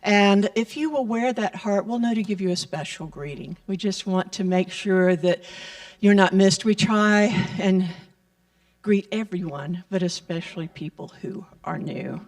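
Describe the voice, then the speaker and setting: A woman making gentle announcements and blessings during a church service. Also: medium pitch. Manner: slow, gentle, blessing-style delivery. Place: church sanctuary. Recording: voice picked up from a distance.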